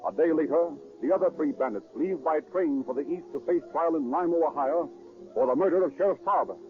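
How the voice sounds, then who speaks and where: A man's voice: on the high side, a little fast, narrow, tinny audio. An American man narrating a 1930s radio crime drama in a formal, dramatic scripted style. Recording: thin 1930s radio recording with music.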